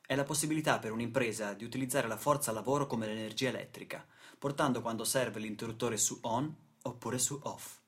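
A man acting as a sardonic, indignant storyteller in a radio theatre monologue, with a husky voice and rhetorical delivery. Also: medium pitch, fairly quick, a bit bright, natural voice. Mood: dry irony. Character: sardonic, indignant male storyteller attacking exploitation. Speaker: stage and radio actor.

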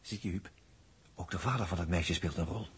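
A man's voice: deep voice, fairly quick. An actor playing a watchful, knowing male observer in a Dutch 1960s radio drama. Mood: hushed, intent. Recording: rough 1960s radio recording.

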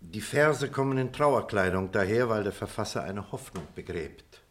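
Actor as reflective deep-voiced middle-aged man. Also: animated, moderate pace, close to an everyday voice. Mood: wry, solemn. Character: reflective middle-aged former pupil telling a childhood memory. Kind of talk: theatrical radio play dialogue and storytelling.

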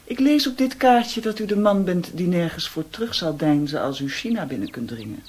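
An actress in a radio drama playing a poised, mysterious European hostess, commanding and teasing. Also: female voice, lowish voice, lively intonation, normal speed, darkish tone, breathy edge. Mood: cool, inviting.

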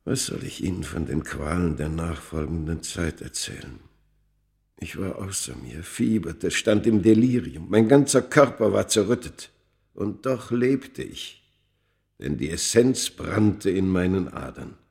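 A very low-voiced cursed man, telling his past in a classic radio play. He speaks slowly and deliberately, with grave literary weight and torment. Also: expressive, vocal fry, darkish tone, heavily acted. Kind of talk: radio drama first-person narration.

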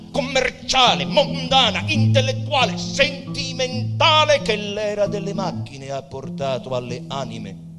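An actor playing a dying, tender and wistful poet, declaiming emphatically in drawn-out phrases as in a stage reading. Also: male voice, fairly high pitch. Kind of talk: theatrical stage reading, declaiming verse and narration. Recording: reverberant hall, live guitar behind. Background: Portuguese guitar music.

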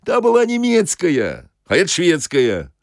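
A man's voice: fairly high pitch, wildly swooping pitch, a little slow, vocal fry, dry recording, studio quality, pitched above the performer's own voice, exaggerated voice. A man voicing an aggrieved bus driver in a comic audio story, grumbling and cursing in folksy colloquial speech. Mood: indignant. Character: aggrieved, cursing bus driver, sentimental about his old machine.

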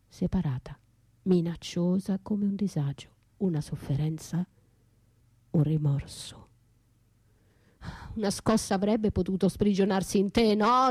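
An actress playing an anguished, bitterly lyrical battered wife left for dead, in an intimate, halting radio theatre monologue that drifts into whispers. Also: female voice, medium pitch, a little mellow. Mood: bitter, accusing. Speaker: stage and radio actress performing her own monologue. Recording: roomy echo, faint music behind.

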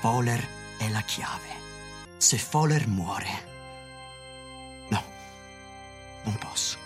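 A woman playing a tormented, ruthless man wrestling with killing, brooding and halting, in a radio drama. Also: rumbling low, lively intonation, over music, heavily acted. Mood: anguished, halting.

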